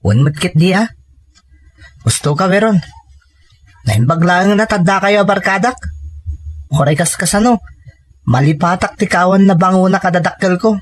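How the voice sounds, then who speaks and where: A man's voice: high-pitched, expressive, normal speed, pauses often. A man playing an earnest, dutiful young grandson and student in an Ilocano-accented audio drama. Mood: wistful.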